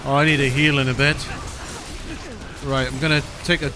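A man's voice: medium pitch, level tone, normal speed. A man playing a co-op video game, chatting casually and reacting with exclamations and swearing. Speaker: video game player in a co-op playthrough. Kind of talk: casual gaming chat, reacting to play. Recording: game music behind the voice. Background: video game music.

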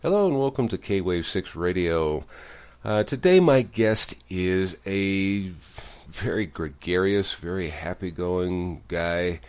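A man's voice: low-pitched, animated, takes it slowly, a little mellow, smooth, faint music behind. A man hosting a radio interview show, introducing his guest in an upbeat way.

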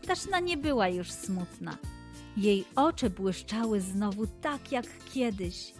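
Woman reading a kids story podcast, mid pitch, takes it slow, music bed behind. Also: expressive. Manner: deliberate, addressing young listeners directly. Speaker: children's story podcast narrator and host. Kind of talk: scripted children's storytelling narration.

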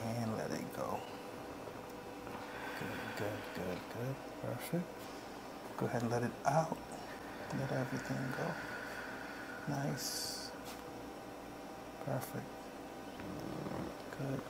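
A middle-aged man talks a client through treatment. His voice has a good deal of fry, and he speaks softly and calmly in short repeated cues. Noticeable room noise sits behind him. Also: mid-range voice, slow pace, long pauses. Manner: calm, repetitive short cues. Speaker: chiropractor. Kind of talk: soft-spoken instructions to a client during treatment. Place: small indoor treatment room. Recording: noisy room ambience.

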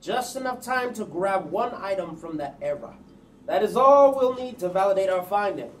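A man's voice: high-pitched, lively intonation, moderate pace. A man playing a pompous, eager inventor professor in a staged screenplay reading. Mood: matter-of-fact. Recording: distant stage mic, faint noise.

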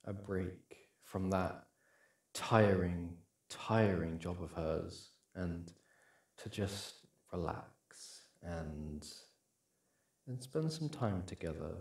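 A man acting as a doting, smitten young boyfriend on a shopping date in an audio roleplay, speaking in a hesitant, halting, affectionate way. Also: low-pitched, expressive, breathy edge, heavily acted. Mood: tender, smitten. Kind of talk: boyfriend ASMR roleplay, acted one-sided conversation.

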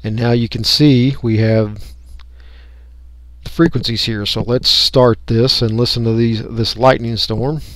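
A man talking through a software tutorial step by step in an unscripted, folksy way. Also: mid-range voice, fairly even intonation, unhurried, no echo. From United States. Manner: unscripted, folksy, explaining each click. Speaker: radio hobbyist giving a tutorial. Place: indoors, voice-over for a screen recording.

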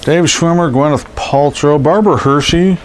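A man's voice: fairly high pitch, moderate pace. A middle-aged man with a head cold, sounding scratchy and stuffed up, rambling casually with many ums and uhs. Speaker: collectibles seller. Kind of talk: casual show-and-tell narration. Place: indoors over boxes of posters.

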